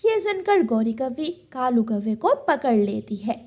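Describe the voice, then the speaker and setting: Female narrator for kids' animated stories: high voice, expressive melody, scripted delivery. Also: a little slow, slight background noise. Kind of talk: children's cartoon story narration.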